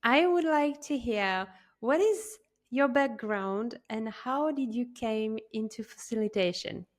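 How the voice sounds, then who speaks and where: Woman host, interview podcast, measured and slow. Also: on the high side, lively intonation.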